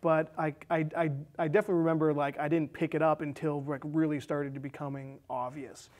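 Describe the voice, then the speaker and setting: Higher-voiced man, halting and casual. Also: flat delivery, a little mellow. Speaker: film discussion show co-host. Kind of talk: casual film discussion. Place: small indoor studio set with curtain.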